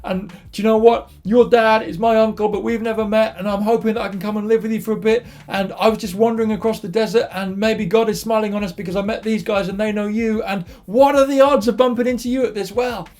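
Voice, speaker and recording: A man's voice: on the high side, moderate pace, a little mellow, natural voice. A man voicing an eager, lovestruck young male traveller in a chatty, gushing, informal way, as in a told story. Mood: excited, babbling.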